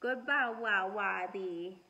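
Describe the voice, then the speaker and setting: A woman voicing an excitable storybook baby boy who babbles in mispronounced, repeated words. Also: mid-range voice, slow pace, lo-fi sound, a little hiss or hum. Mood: excited screeching.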